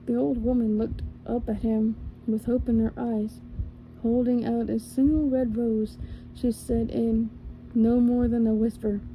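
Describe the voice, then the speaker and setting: A woman reading a fairy tale aloud in a gentle ASMR style, now and then stumbling and correcting herself. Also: fairly high pitch, flat delivery, measured pace, faint music behind, low-quality recording. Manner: gentle, with small slips and self-corrections. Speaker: ASMR storyteller. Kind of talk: soft ASMR story reading.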